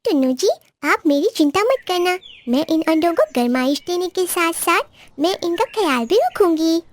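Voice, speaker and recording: A woman's voice: very high voice, expressive, normal speed, voice pushed up. A woman voicing a happy, devoted mother sparrow, sweet and chirpy, in a children's cartoon. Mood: reassuring. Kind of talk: Hindi children's cartoon dialogue.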